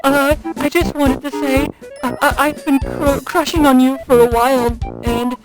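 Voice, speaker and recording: Casting: woman as awkward comic-dub character with a crush, high voice, nervous stammer, music behind. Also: flat delivery, close to an everyday voice, low-quality recording. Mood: nervous, shy. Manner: halting, stammering.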